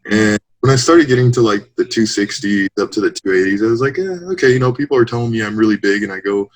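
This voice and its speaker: A man in his late twenties talks openly as an interview guest, thinking out loud and laughing lightly between points. His voice sits a little low. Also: fast talker, poor audio. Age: 20s. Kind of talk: casual interview chat.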